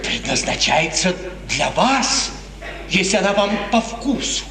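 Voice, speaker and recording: An actor playing a courteous, deferential court painter, speaking formally in 1950s Soviet radio drama style. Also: male voice, high-pitched, sing-song, a little slow. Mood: polite, cautious. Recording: echoey, distant 1950s radio recording.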